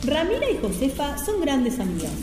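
A woman's voice: high-pitched, huge pitch swings, normal speed. Woman as radio-play narrator, echoey. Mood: storytelling. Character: storyteller introducing a strange supermarket adventure. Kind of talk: scripted narration of amateur radio drama. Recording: echoey hall, music behind.

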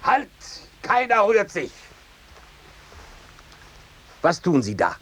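An actor playing a zealous army captain, stiff, pompous and officious, in a 1950s radio play. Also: male voice, high voice, wildly swooping pitch, a little slow, sharp tone, heavily acted. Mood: stern, officious. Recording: noisy 1950s radio drama recording.

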